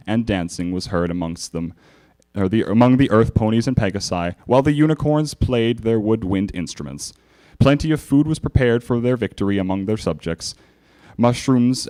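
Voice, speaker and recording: A man's voice: medium pitch, darkish tone. A man hosting a live comedic reading of fanfiction, amused and stumbling over the text at a convention panel. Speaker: fanfiction reader, panel host.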